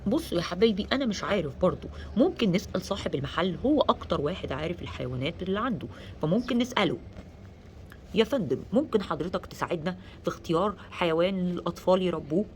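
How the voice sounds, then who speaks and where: A man playing a patient, encouraging father in a children's story, with an Egyptian accent and a gentle, instructive manner. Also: sits high, animated, fast, slight background noise. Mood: unsure, helpful. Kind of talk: children's story role-play.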